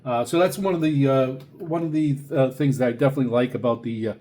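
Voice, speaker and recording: An older man giving a casual, unscripted product review, halting and rambling as he repeats words. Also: mid-range voice, mellow, slight background noise. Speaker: radio reviewer presenting a video series.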